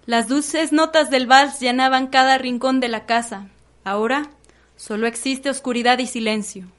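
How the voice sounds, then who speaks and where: High-voiced young Mexican woman narrating. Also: fairly even intonation, moderate pace, fairly bright tone. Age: young adult. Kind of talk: scripted radio drama narration.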